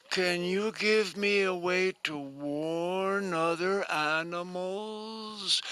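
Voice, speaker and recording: An older male storyteller voicing a gentle, timid male snake who pleads softly in a slow, high voice. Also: clean tone, no room sound, exaggerated voice.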